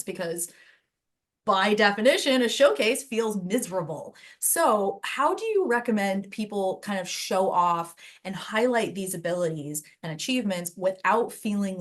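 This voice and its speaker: A woman moderates a casual online session. Her voice sits at an ordinary pitch, and she talks informally, rambling a little as she guides the discussion on a video call. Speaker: host of an online learning session.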